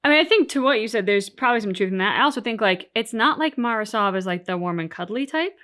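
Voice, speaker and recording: A woman hosting a reaction show, discussing things casually and analytically in measured, articulate phrases. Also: medium pitch, lively intonation, a bit bright. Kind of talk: casual analytical discussion of video game story.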